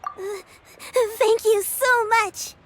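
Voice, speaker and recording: A woman's voice: extremely high pitch, steady tone, extremely slow, fairly bright tone, exaggerated voice. A woman voicing a sweet, resilient young girl in a video game, earnest, polite and a little hesitant. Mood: grateful, earnest.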